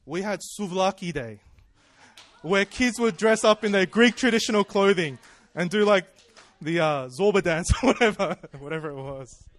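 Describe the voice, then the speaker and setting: A man's voice: high-pitched, normal speed. Chatty man telling a story. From Australia. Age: 30s. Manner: chatty, self-deprecating, laughs often. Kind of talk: personal storytelling sermon.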